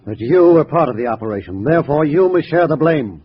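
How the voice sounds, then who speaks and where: An actor playing a cold, menacing communist party boss, stern, measured and formal, in a 1950s radio drama. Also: male voice, on the high side, animated, a little hiss or hum, natural voice. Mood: cold, threatening. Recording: muffled vintage radio recording.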